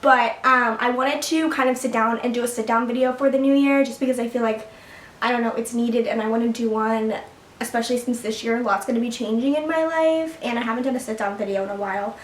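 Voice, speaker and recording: A woman's voice: fairly high pitch, fairly even intonation, fast, far from the mic, slight background noise. A seventeen-year-old girl chatting casually to her viewers at home, rambling with lots of fillers and little laughs. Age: teens. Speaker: vlogger. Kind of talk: casual sit-down chat to camera. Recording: echoey room, mic set back.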